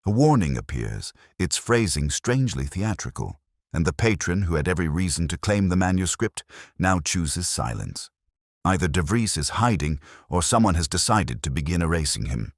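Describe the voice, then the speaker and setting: Guy reading as a very deep-voiced victorian detective, calm, clipped, lively intonation, storybook mystery. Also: dry recording, studio quality, full character voice. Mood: measured, pondering. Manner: clipped, authoritative, deliberate.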